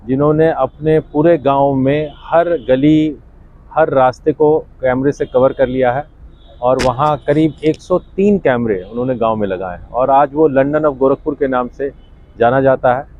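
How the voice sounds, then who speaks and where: A man's voice: mid-range voice, a little slow, phone-quality sound. A man speaking as a senior official, giving a statement to the media.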